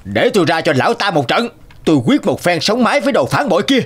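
Man as hot-headed young crow, high voice, animated, indignant, cartoon, faint music. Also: quick pace, slightly rough, full character voice. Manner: emphatic, agitated. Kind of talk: cartoon fairy-tale voice acting.

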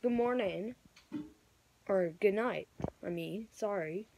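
A woman improvising mock emergency broadcast announcements in a halting way, correcting herself as she goes. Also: mid-range voice, background noise. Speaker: amateur vlogger play-acting emergency alerts.

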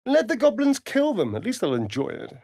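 A man's voice: sits high, huge pitch swings, a little fast, vocal fry, a little mellow, dry recording, studio quality, exaggerated voice. A male actor voicing a sly, vain vampire rogue in a fantasy video game, with an arch, flamboyant, sardonic drawl. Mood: mocking.

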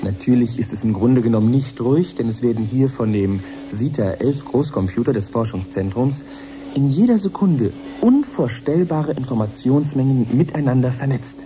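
An actor playing an eager male science reporter in a radio drama, commenting live with measured wonder. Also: mid-range voice, noisy background, close to an everyday voice.

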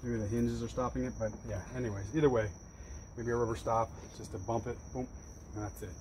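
A man in his forties casually walking through his equipment setup outdoors, relaxed and rambling with plenty of fillers. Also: mid-range voice, brisk, background noise. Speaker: detailing business owner showing gear. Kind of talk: casual vlog walkthrough. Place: outdoors by a fenced wall at night, later daytime.

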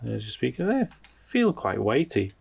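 A man's voice: medium pitch, huge pitch swings, normal speed, gravelly, husky. A man giving casual unboxing commentary as a product reviewer, informally thinking aloud as he goes.

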